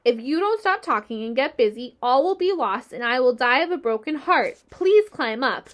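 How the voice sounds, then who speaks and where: A woman's voice: high voice, animated, a little fast, pushed and bright, voice pushed up. A woman voicing an earnest young male pig begging for help. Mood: pleading. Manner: pleading, urgent.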